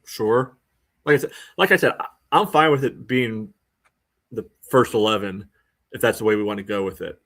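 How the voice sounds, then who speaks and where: Lower-pitched man talking soccer casually. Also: normal speed, a bit bright. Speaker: sports podcast co-host. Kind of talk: casual soccer analysis chat.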